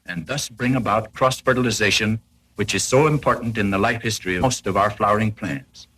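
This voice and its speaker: A man narrating a 1940s educational film in a formal, didactic, carefully explanatory way, with a slightly rough, husky voice, on an old film soundtrack. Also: lowish voice, a little fast, pushed and bright, low-quality recording.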